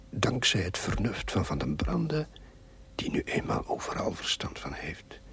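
A priest narrating his diary in formal, measured phrases. His voice is very husky and breathy, almost without tone. He takes it slowly and reflectively, as television drama narration. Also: mid-range voice, exaggerated voice. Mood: wry, solemn.

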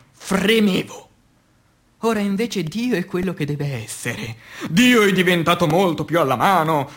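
A man reciting poetry as radio theatre in speech, declamatory, with dramatic pauses, moving between hushed and emphatic delivery. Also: high-pitched. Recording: music under the voice. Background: music.

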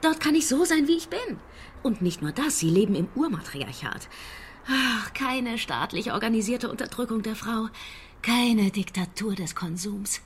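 An eccentric, idealistic female assistant played by an actress in a radio drama. Her fairly high voice swings expressively with earnest drama, over clear ambient noise. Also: expressive, normal speed, lightly acted.

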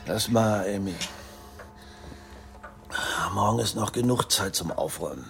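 Gravelly, protective husband. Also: male voice, on the low side, unhurried. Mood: weary calm. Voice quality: gravelly, creaky. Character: stern, protective husband, dutiful old-comrade type. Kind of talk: German radio drama acting. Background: music.

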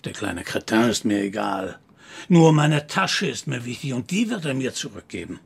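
An actor playing a menacing, manipulative grandfather in a radio crime drama, speaking deliberately, coaxing and then commanding, with a rough, husky voice. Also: medium pitch, sing-song, exaggerated voice. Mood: fanatical, stern. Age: older. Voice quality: husky, gravelly. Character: menacing, manipulative grandfather, a cold zealot preaching purity.

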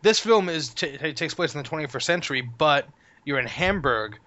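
A man's voice: a little high, expressive, moderate pace, bright, forceful tone. A man whose first language is German, chatting about films with emphatic, opinionated remarks. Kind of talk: casual film review chat. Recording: dull lo-fi podcast audio.

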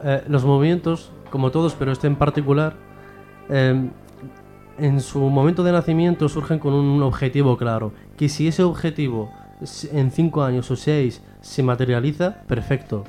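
A man's voice: mid-range voice, moderate pace, dark tone, faint music behind. A man from Western Sahara explaining politics as a radio interview guest, often posing questions and answering them himself. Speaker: guest, member of a Sahrawi association.

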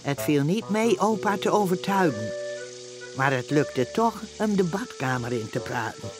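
A man's voice: on the high side, expressive, measured pace, over music. A man acting as a wry storyteller who narrates household mishaps in a radio play. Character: storyteller looking back wryly on household mishaps.

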